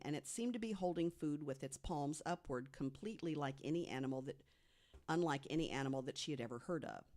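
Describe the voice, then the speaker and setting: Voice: medium pitch, a little mellow. A podcast panelist narrating a researched true story to co-hosts, reading from notes and correcting the odd slip. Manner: reads from notes, corrects slips.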